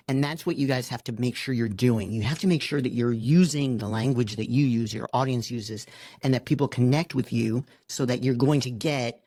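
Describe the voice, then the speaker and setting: A man's voice: medium pitch, a little mellow, no echo. A man co-hosting a podcast, teasing his partner and stating firm opinions in a dry manner. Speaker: podcast co-host. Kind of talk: casual chat.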